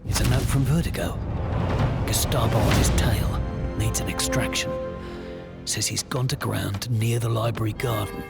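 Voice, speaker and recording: An actor playing a gruff, wry wartime special agent with a British accent, laconic and understated in a video game. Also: male voice, lowish voice, steady tone, moderate pace, over loud music. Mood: musing. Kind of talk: low-key video game acting, muttered narration.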